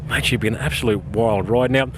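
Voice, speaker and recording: A man's voice: mid-range voice, fairly quick, background noise. A man hosting a car show, chatting enthusiastically with owners at an outdoor event. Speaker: host.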